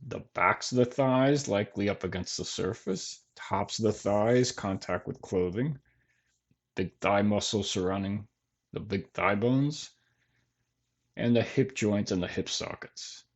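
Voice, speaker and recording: Man, deep voice, slightly husky, meditation teacher, gentle instruction, unhurried with long pauses. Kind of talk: guided body scan meditation.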